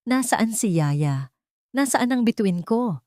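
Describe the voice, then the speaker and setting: Woman voicing a weak, hoarse little boy. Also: fairly high pitch, animated, slow, a little mellow, dry recording, studio quality, pitched above the performer's own voice. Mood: weak, searching.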